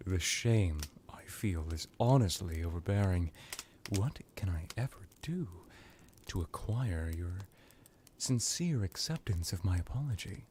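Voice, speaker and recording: A man voicing an ancient, smug vampire crime lord in an ASMR roleplay, drawling with theatrical pauses and sarcastic condescension. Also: low voice, expressive, darkish tone, a little hiss or hum. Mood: mocking, sarcastic.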